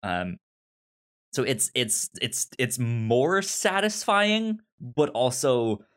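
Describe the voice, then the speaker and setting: A man co-hosting a podcast, discussing a TV series casually and haltingly, often repeating syllables and words. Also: medium pitch, sing-song, fairly bright tone. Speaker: podcast co-host.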